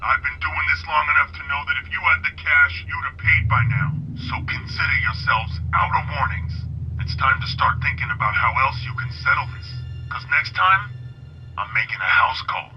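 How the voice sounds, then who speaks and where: A man's voice: medium pitch, moderate pace. A man playing a threatening, foul-mouthed debt collector in a video game, heard through an answering machine. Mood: menacing, fed up. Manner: threatening, profane. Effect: answering machine filter. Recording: muffled, noisy answering-machine playback.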